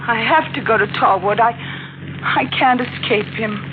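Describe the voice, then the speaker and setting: Actress as a frightened widow on old radio. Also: female voice, a little high, noisy background, natural voice. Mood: haunted, resigned. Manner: halting, repeats words, anxious. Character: frightened, fatalistic young widow, fearing her dead husband. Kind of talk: 1940s radio thriller acting. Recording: muffled 1940s radio recording, music behind.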